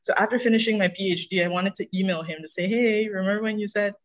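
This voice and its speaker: A woman from Guyana, speaking calmly as a geologist panelist on a video call. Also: medium pitch, quick pace, lo-fi sound. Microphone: video call webcam.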